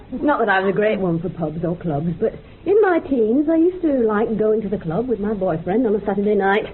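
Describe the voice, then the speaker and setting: Radio drama: chatty, nostalgic woman played by an actress, rambling, highly animated pitch, tinny with faint noise. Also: moderate pace, close to an everyday voice. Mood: amused, nostalgic.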